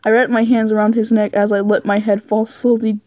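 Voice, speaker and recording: A woman's voice: on the high side, level tone, fairly quick, mellow, no room sound. A giggly woman goofing around as she reads fan fiction aloud, often stumbling over the text.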